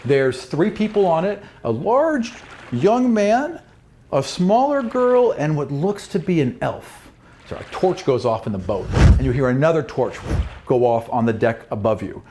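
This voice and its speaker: A man's voice: on the high side, big pitch swings, a little slow, warm tone, a little hiss or hum. A man working as a game master, narrating a tabletop roleplaying game in a descriptive storytelling way. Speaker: game master and podcast host.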